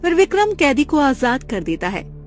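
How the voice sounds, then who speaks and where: Female story narrator: scripted, dramatic, high register with very lively intonation. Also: normal speed, faint music behind.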